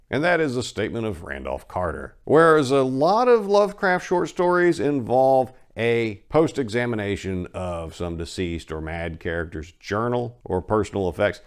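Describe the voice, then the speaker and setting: A man speaking as a host, giving an introduction to a dramatic reading of a short story. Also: medium pitch.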